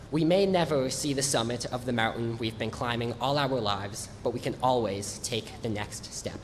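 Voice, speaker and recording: Congested teenage boy giving a speech. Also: mid-range voice. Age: teens. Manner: earnest, measured reading. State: congested from allergies. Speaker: graduating student speaker. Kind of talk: prepared graduation speech. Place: large hall or gym, on stage. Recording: echoey hall with faint noise.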